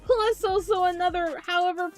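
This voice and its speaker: A woman's voice: very high-pitched, fairly even intonation, moderate pace, faint music behind. A woman streaming live as a VTuber, chatting casually with her viewers and joking about herself, her voice tired. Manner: chatty, talks to chat, self-mocking.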